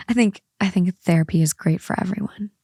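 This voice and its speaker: A woman's voice: on the low side, warm tone, breathy edge, no room sound. Woman hosting a podcast, close dry sound. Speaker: podcast host. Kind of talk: casual co-host chat and reading a story aloud.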